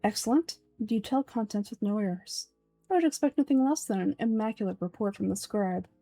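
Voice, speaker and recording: A woman's voice: mid-range voice, fairly quick, mellow, no room sound, faint music behind, close to an everyday voice. A woman voicing a pompous, coldly calculating old male villain from a game, speaking formally and condescendingly. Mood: smug, menacing. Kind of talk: amateur voice-over of game dialogue.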